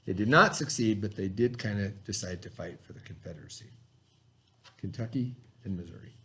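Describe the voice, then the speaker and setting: A man's voice: on the low side, a little fast, a bit bright. A man reading a lesson aloud as a teacher, explaining as he goes and checking understanding with simple questions.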